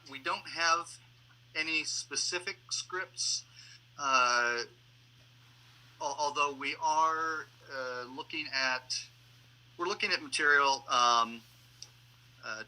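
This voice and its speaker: A man's voice: medium pitch, sharp tone, low-quality recording. A man speaking as a guest on an online panel, answering casually in a hesitant, piecemeal way. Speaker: panel guest, theatre radio play producer. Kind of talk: casual discussion, answering questions.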